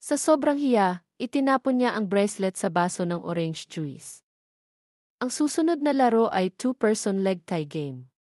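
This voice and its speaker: A woman with a Filipino accent reading scripted story narration in a formal, measured manner. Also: medium pitch, darkish tone, dry recording, studio quality.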